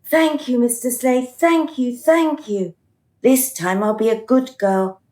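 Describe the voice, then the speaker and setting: A woman's voice: fairly high pitch, takes it slowly, a little mellow. A woman playing a dutiful, unhappy dead woman in a radio play, plaintive, earnest and eager to please. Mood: eager, grateful.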